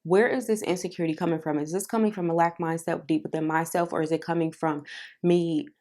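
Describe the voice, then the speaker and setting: Low-voiced chatty young woman vlogger. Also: fairly even intonation, quick pace. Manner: chatty, lots of "like" and "um", soft careful delivery. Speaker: self-help vlogger. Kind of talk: casual talk to camera.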